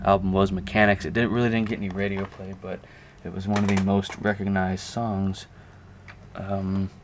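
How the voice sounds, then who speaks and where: A man giving a casual, unscripted show-and-tell to a camera, talking haltingly and thinking aloud as he goes. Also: sits low, little pitch movement. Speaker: video creator showing a music collection. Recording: clear background noise, amateur camera audio.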